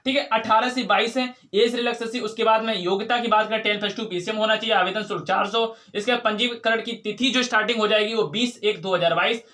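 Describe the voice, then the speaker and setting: High-pitched man teaching. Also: bright, forceful tone. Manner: repeats key points, asks and answers own questions. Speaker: exam coaching teacher. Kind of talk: informal explanatory lecture.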